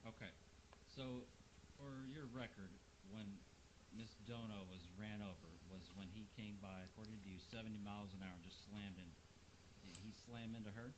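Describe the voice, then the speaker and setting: Stern low-voiced man playing a judge. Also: crowd noise, low-quality recording. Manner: stern, halting judge who repeats himself. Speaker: roleplayer as a judge. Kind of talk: casual roleplay court hearing.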